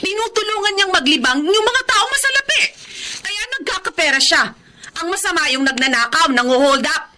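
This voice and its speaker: A woman's voice: very high voice, expressive, brisk, background noise. A woman acting in a Filipino radio drama as a nagging, demanding wife and mother, scolding and emotional. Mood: angry, pleading.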